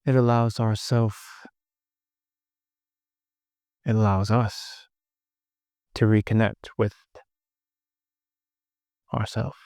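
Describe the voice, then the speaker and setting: A man leading a guided meditation in short, gently instructive phrases with long pauses between them. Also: on the low side, level tone, vocal fry, smooth, no room sound, studio quality.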